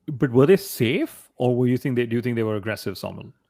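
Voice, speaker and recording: A man's voice: mid-range voice, lively intonation, quick pace, dark tone, dry recording, clean studio sound. A man co-hosting a motorsport podcast in casual conversation, often posing rhetorical questions. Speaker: podcast co-host.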